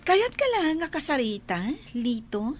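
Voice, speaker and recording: A woman's voice: high voice, sing-song, measured pace, soft background music. A woman playing an insistent office boss in a radio drama, pressing for the truth. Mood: probing, tense.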